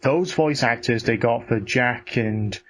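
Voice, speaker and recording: A man's voice: mid-range voice, a little slow. A man chatting casually and giving blunt opinions in a sweary, ranting way. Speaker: podcast co-host. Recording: noticeable background noise.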